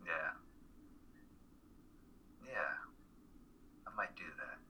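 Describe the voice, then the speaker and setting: A man's voice: deep voice, drawn-out words, sharp tone, a little hiss or hum, exaggerated voice. A man acting a tired, subdued male college student in a dramatic reading, speaking hesitantly in short replies. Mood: reserved. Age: young adult. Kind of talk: radio-play style dramatic reading.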